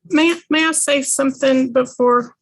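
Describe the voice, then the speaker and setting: A woman's voice: high-pitched, unhurried. A woman on finance staff, giving a brief spoken report at a formal meeting.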